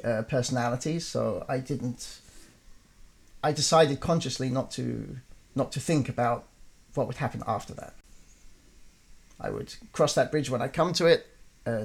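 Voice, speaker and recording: A man's voice: mid-range voice, vocal fry. A man in his forties, a performer, talking through his decisions in an interview with many fillers and restarts. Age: 40s. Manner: relaxed, frequent fillers and restarts. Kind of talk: reflective conversational interview.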